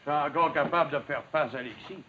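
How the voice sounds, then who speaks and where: An actor playing a big, proud log driver in a 1950s television drama, with a gravelly voice and a rustic Quebec French accent. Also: male voice, a little high, fast, pushed and bright, slight background noise. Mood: boastful, vengeful. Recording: dull 1950s television sound.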